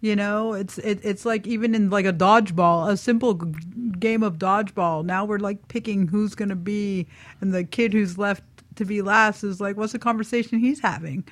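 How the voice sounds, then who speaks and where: A woman's voice: medium pitch, steady tone, moderate pace, dry recording. A woman speaking earnestly and emphatically in a casual radio discussion, repeating phrases for emphasis.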